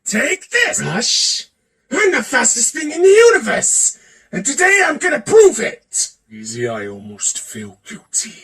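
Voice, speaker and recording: A man's voice: very high-pitched, wildly swooping pitch, a little slow, sharp tone, distant mic. A man performing theatrical character voices in a voice acting reel, switching from one to another. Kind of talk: voice acting demo reel of game and cartoon character impressions.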